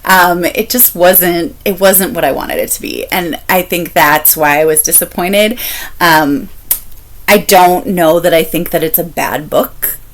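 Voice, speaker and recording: A woman chatting casually as a book-review vlogger, candid and expressive, repeating phrases for emphasis. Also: lowish voice, a little slow. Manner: candid, expressive, laughs while talking.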